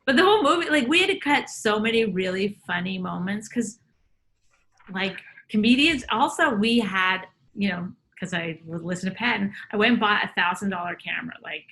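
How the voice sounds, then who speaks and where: A woman's voice: mid-range voice, lively intonation, moderate pace, a bit bright, slight background noise. A woman comedian in a casual interview, telling loose, rambling stories full of fillers. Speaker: comedian guest.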